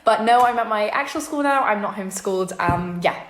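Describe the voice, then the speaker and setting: A woman's voice: mid-range voice, lively intonation, fairly quick, echoey room. A teenage actress with a mostly British accent that sometimes slips American, chatting casually as she answers fan questions at home. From born in New York, raised in London. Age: teens. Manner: chatty, repeats "really, really", light giggles. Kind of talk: casual vlog Q&A. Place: her home kitchen, indoors.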